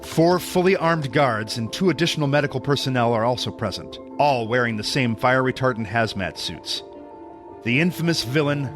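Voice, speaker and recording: A man narrating an audio drama from a script as its storyteller. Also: mid-range voice. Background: background music.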